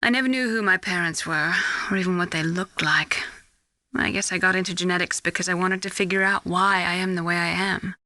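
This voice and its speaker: A woman's voice: on the low side, fairly quick, pushed and bright, no room sound. An actress playing an earnest female scientist in a video game, questioning and probing in a dramatic style. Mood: reflective.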